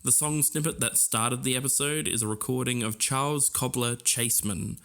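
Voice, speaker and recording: A man's voice: mid-range voice, fairly even intonation, moderate pace, fairly bright tone. A man co-hosting a podcast, narrating from loosely scripted notes. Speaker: podcast co-host.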